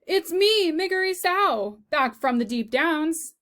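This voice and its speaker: A woman voicing a simple, clumsy young serving girl with uneducated, slow-witted speech. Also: on the high side, big pitch swings, fairly bright tone. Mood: shouting.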